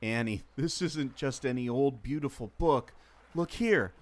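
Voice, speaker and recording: Man acting emphatic know-it-all adventurer Freddy, mid-pitched. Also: measured pace, close to an everyday voice. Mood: intrigued, secretive. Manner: emphatic, explanatory. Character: know-it-all, resourceful male adventurer and former mariner Freddy. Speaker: podcast host acting in audio drama. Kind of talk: amateur radio adventure acting. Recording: noticeable ambient background noise.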